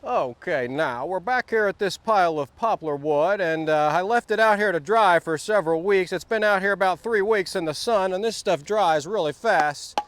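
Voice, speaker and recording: A man's voice: high voice, normal speed, few pauses, fairly bright tone, slight background noise. A man with a Southern US accent and a sore throat, rambling through a casual outdoor vlog with many uhs and restarts. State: sore throat, voice not back. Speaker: vlogger. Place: outdoors, windy wood lot.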